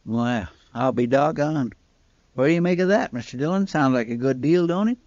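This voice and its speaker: An actor playing a good-natured deputy sidekick in a radio western, with a drawling rural American accent and a folksy, deferential manner. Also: male voice, mid-range voice, expressive, moderate pace, a little mellow, natural voice. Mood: puzzled. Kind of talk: 1950s radio western acting. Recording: dull vintage radio recording.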